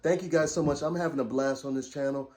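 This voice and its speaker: A man's voice: medium pitch, steady tone, quick pace, a little mellow. A man speaking casually as a channel host, addressing his viewers.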